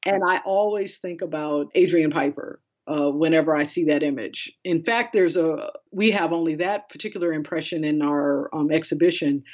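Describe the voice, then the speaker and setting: A woman's voice: sits low, moderate pace, few pauses, darkish tone. Woman curator in dull, lo-fi audio. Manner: discursive, explanatory, quotes others in conversational paraphrase. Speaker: art curator being interviewed. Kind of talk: conversational interview answers.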